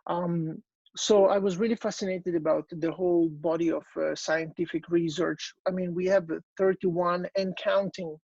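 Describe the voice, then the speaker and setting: A man with an Italian accent talks through an online business presentation in a high, gravelly voice. He hesitates often, with plenty of fillers and restarts. The video call audio sounds dull and dry. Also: fairly even intonation, moderate pace, darkish tone, no echo. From Italy.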